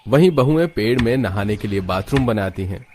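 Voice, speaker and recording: कहानी सुनाता गहरी आवाज़ वाला पुरुष. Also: male voice, on the low side, lively intonation, fairly quick, background noise. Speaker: narrator. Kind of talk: scripted cartoon story narration.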